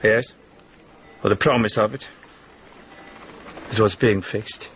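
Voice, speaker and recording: An actor playing a guarded, worldly man in a naturalistic radio drama, speaking in a measured, matter-of-fact, knowing way. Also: lowish voice, steady tone, a bit bright, muffled recording, faint music behind. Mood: knowing, resigned.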